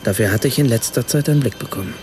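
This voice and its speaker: An actor playing a reflective, watchful first-person narrator in a literary radio play. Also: male voice, mid-range voice, very fast, heavy background noise, natural voice. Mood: observant.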